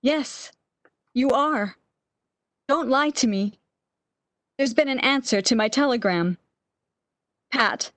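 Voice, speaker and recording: A woman's voice: sits high, slow pace, long pauses, fairly bright tone, no echo. A woman acting in a radio play as an anxious, regretful, bedridden sick woman with a fretful, pleading manner. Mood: suspicious, distressed.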